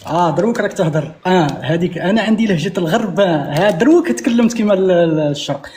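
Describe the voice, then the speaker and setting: A man's voice: high voice, animated, fast talker, a little mellow. An Algerian man with an Algerian Arabic accent, calling into a live stream and defensively arguing back. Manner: argumentative, defensive. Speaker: caller.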